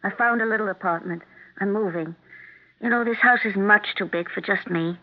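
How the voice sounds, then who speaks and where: An actress playing a sad but polite widow in a 1970s radio mystery. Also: female voice, mid-range voice, moderate pace, lightly acted. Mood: weary, polite. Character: Maud, sad, polite widow keeping her composure. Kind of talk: 1970s radio drama acting. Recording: tinny narrowband vintage radio recording.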